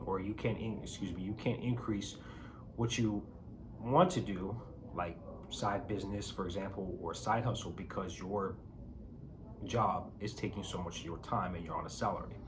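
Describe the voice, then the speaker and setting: A hoarse man with a raspy voice from a cold, chatting casually with his viewers and giving advice. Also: low voice, normal speed, noisy background. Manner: chatty, emphatic, frequent fillers and rhetorical questions. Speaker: bitcoin video host. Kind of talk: casual talking-to-camera advice.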